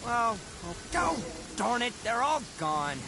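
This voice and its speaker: An actor voicing a boisterous, cocky but good-natured young male oni in an anime fantasy video game, brash and theatrical, with drawn-out emphasis. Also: very high-pitched, very animated, vocal fry, background noise, heavily acted. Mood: excitable.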